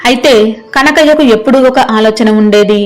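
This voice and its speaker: A woman's voice: fairly high pitch, fairly quick, faint music behind. A woman narrating a scripted moral story as a storyteller.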